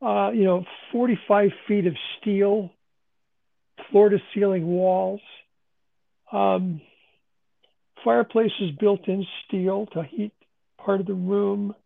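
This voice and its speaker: A man whose voice is worn hoarse talks casually, stopping and restarting as he hunts for words. His tone is gravelly with fry. The sound is thin and phone-like. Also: sits high, fairly even intonation. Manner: halting, many restarts and fillers. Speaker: podcast host. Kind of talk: casual chat. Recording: phone-quality call line.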